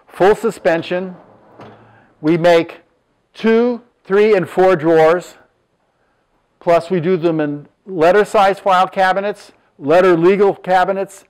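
Sixties male business owner, plain unpolished showroom pitch, a touch higher-voiced. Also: smooth, rough recording. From Southern California. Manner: plain, matter-of-fact, lists measurements with fillers. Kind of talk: product presentation. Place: furniture showroom, indoors.

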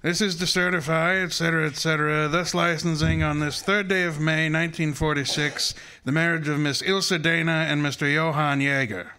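A man's voice: on the high side, steady tone, moderate pace, few pauses, sharp tone, clear, resonant tone. A man playing an imperious, erudite master detective in a radio drama, speaking in a formal, precise and pompous way. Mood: smug. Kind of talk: old-time detective radio drama acting.

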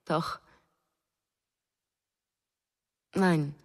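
A woman's voice: slow, a little mellow, in a large hall, natural voice. An actress playing a grieving young mother in a German radio drama, restrained and pensive, in short phrases. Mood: subdued, defensive.

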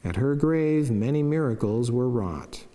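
A middle-aged man, a friar, solemnly reading a scripted devotional text in a measured way at a chapel lectern. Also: mid-range voice, lively intonation, dark tone. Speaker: Franciscan friar and priest. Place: wood-panelled chapel, indoors. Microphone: lectern microphone. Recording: long church reverb.

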